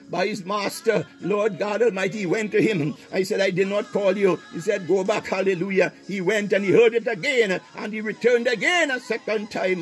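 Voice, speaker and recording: High-voiced man in fervent prayer over music. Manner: impassioned, punctuated with repeated exclamations of praise. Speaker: preacher leading a morning prayer.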